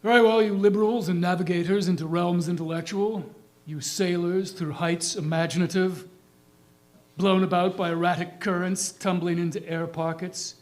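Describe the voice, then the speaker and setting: A man playing a proud dead small-town gentleman, reciting an epitaph in a measured, declamatory reader's theatre style. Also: high-pitched, steady tone, lightly acted. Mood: smug, scornful. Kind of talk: dramatic monologue, staged poetry reading.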